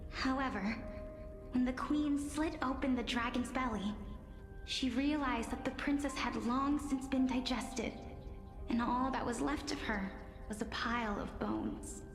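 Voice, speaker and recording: High-voiced young storyteller, echoing. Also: female voice, unhurried. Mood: dramatic, ominous. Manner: theatrical, expressive storytelling. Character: playful young woman storyteller spinning dramatic dark legends. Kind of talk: video game voice acting, dramatic tale narration. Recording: roomy reverberant sound with music behind.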